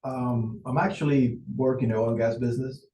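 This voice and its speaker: A man's voice: medium pitch, steady tone, brisk, dark tone, roomy sound, low-quality recording. A man in his forties, from Venezuela, chatting casually as a client on a sales video call, hesitant and full of fillers. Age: 40s. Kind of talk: casual conversation.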